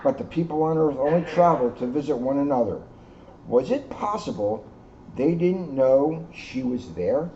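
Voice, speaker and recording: Male storybook reader, police officer, relaxed warm timbre, lo-fi recording. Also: medium pitch, normal speed. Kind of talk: children's storybook read-aloud with casual asides. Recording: dull lo-fi sound, faint background noise.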